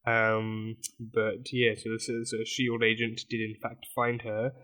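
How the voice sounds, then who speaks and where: Raspy-voiced man rambling casually. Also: lowish voice, completely flat delivery, measured pace, pushed and bright. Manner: rambling, many fillers and restarts. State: hoarse from a sore throat. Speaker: reaction video host. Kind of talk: casual commentary on a TV episode.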